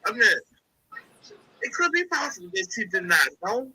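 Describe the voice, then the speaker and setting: A congested woman on a call-in talks quietly and haltingly, leaning on fillers as she works through her thoughts. Her voice sits high and sounds very bright, with a husky edge. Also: very animated, low-quality recording. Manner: soft-spoken, hesitant, many fillers. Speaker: caller. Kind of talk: casual phone-in chat.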